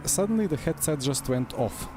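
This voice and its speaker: A man's voice: a little high, expressive, normal speed, over music. A man with a Slovenian accent streaming a sim race on a headset mic, talking to his viewers in short, broken-up bursts. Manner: talks to himself in short fragmented bursts. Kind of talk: live chat while sim racing. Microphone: wireless headset. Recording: game car noise underneath.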